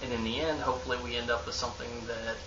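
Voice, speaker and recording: A man's voice: mid-range voice, steady tone, rapid-fire. A young man from a lure company speaks casually to the camera in an office, in an easygoing manner. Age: young adult. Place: office with bookshelf, indoors. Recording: roomy, distant, dull, hissy.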